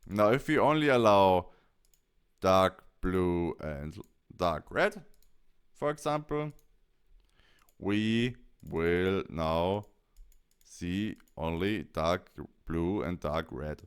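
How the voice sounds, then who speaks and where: A man presenting a software tutorial, explaining each step as he goes. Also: deep voice, lively intonation, drawn-out words, pauses often, smooth.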